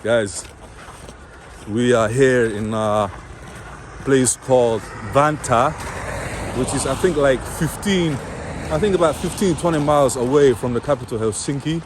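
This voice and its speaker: A man's voice: medium pitch, unhurried. A man chatting casually as a travel vlogger, addressing viewers and interviewing people in a friendly way. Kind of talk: casual street vlog chat. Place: outdoors on a winter city street, walking. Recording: noisy outdoor street with traffic.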